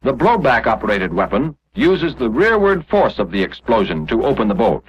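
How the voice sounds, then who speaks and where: A man's voice: medium pitch, sing-song, normal speed, husky. A man narrating a 1940s instructional film, explaining step by step in a scripted, didactic way. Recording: muffled, dull 1945 film soundtrack.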